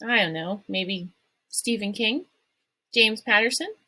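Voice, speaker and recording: A woman voicing a shy, bookish eleven-year-old boy in a storybook reading, soft and hesitant. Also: medium pitch, takes it slowly.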